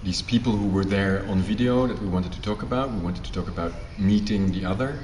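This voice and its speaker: A deep-voiced man speaks thoughtfully, pausing often on fillers. He sounds distant in an echoey room with steady background noise. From Netherlands. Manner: thoughtful, hesitant with many fillers. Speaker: theatre performer. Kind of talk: festival interview.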